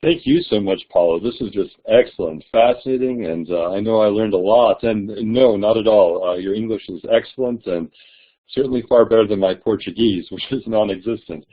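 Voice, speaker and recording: A man's voice: on the low side, moderate pace. A man hosting a webinar, giving friendly closing remarks with frequent fillers. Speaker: webinar host. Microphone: video call. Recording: tinny online call audio.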